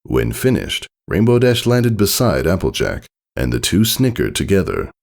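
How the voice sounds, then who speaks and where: A man narrates a story in a low, gravelly voice. His pitch swings widely as he reads, giving the scripted text an expressive storyteller's delivery. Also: normal speed. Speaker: fan fiction reader.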